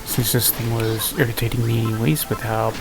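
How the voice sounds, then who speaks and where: A man's voice: medium pitch, flat delivery, fairly quick, vocal fry, poor audio. A man giving casual gameplay commentary, muttering to himself in an understated way. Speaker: gaming video host. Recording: game music behind the voice. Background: video game music.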